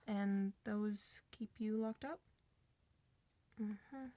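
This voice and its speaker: A woman's voice: mid-range voice, fairly even intonation, dark tone, dry recording. A woman playing a friendly, reassuring doctor for werewolves in an informal, one-sided ASMR roleplay. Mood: calm, reassuring. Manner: reassuring, informal, hesitant pauses.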